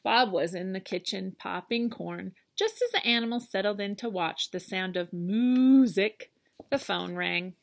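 A woman around forty reading a picture book aloud to children in an expressive, teacherly style. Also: mid-range voice, a little slow, creaky. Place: outdoors on a deck among trees.

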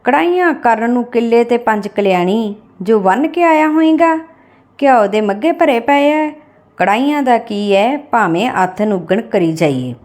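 A woman's voice: on the high side, lively intonation, moderate pace, darkish tone, voice pushed up, close to an everyday voice. A woman narrator voicing a spiteful, sarcastic village wife in a story, taunting and scolding. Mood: angry sarcasm. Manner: sarcastic, nagging.

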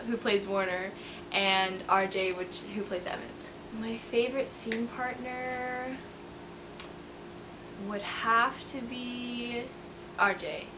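A woman in her late teens speaking casually in an interview as a student actor. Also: medium pitch. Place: indoor school stage during rehearsal. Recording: noisy room, busy ambience.

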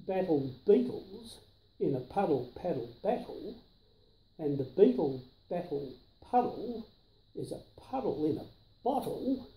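A very mellow grandfatherly man in his seventies reads a children's rhyme aloud with lively swings in pitch. He stresses each word with care. The living room adds some echo to his voice. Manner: careful, emphatic tongue-twister delivery. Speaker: storyteller grandfather. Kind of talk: reading a children's rhyming book aloud. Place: indoors, living room on a sofa. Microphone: camera a few feet away.

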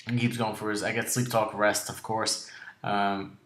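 A man with a raspy, sore voice, slightly short of breath, narrating a video game battle in a casual, halting way. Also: lowish voice, little pitch movement, a bit bright, roomy sound. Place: indoor room at home.

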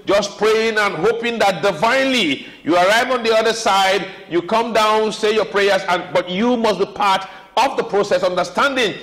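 A man's voice: high-pitched, normal speed, few pauses, fairly bright tone, rough recording. A man with a Nigerian accent hosting a TV talk show, rambling and emphatic with many hesitations. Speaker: TV show host.

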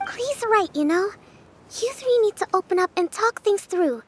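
A woman voicing a tiny, chirpy, opinionated fairy companion in a video game, with a squeaky childlike voice. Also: lively intonation, moderate pace, darkish tone. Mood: chirpy advising.

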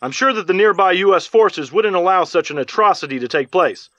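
An earnest male war correspondent reports in a measured, serious way for a game's newscast. His voice is high, and the sound is dry and slightly dull. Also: animated, fairly bright tone, no room sound. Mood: serious, concerned. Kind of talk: TV field report in a game cutscene. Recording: slightly dull, muffled audio.

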